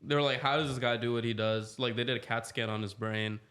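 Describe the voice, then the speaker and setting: A man's voice: mid-range voice, steady tone, fast talker, fairly bright tone. A man in his twenties, co-hosting a casual podcast, rambling and filling his talk with "like". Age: 20s. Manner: rambling, heavy use of "like". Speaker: podcast co-host. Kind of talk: casual chat.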